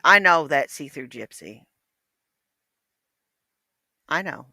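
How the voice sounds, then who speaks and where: A woman's voice: sits low, lively intonation, slow, gravelly, bright, forceful tone, no echo, studio quality. A woman in her fifties, blunt, profane and mocking in casual livestream chat. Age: 50s.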